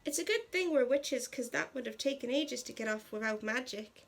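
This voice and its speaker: A woman's voice: fairly high pitch, expressive, normal speed, gravelly. A woman voicing an irritated, chatty teenage witch with an Irish accent in a story reading. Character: irritated teenage witch girl grumbling about bats.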